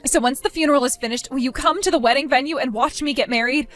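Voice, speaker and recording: A woman's voice: high-pitched, quick pace, a bit bright, faint music behind. A woman playing a self-centered young bride who whines and pleads with her sister, in a scripted drama. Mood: whiny.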